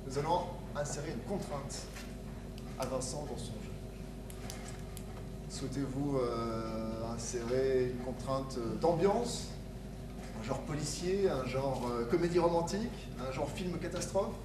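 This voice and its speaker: A man's voice: medium pitch, a little slow. A man hosting an improv show, announcing live to an audience. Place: live event before an audience. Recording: echoey hall, distant mic, very noisy.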